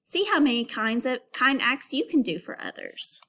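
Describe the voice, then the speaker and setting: A woman teacher reading aloud to young pupils and explaining as she goes, in an instructive, clearly phrased way. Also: high-pitched, big pitch swings, normal speed, pushed and bright. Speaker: elementary school teacher. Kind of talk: read-aloud reading lesson for children.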